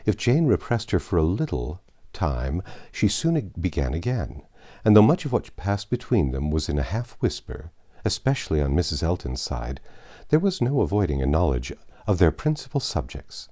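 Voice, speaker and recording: A man's voice: deep voice, big pitch swings, normal speed, dark tone. A man reading a classic novel aloud as scripted narration. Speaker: audiobook narrator.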